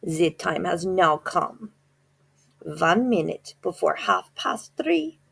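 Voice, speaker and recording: A woman voicing a sinister, commanding female witch leader, shrill and theatrical in a storybook reading. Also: big pitch swings, slow, gravelly, a bit bright, slightly rough, exaggerated voice. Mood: gloating.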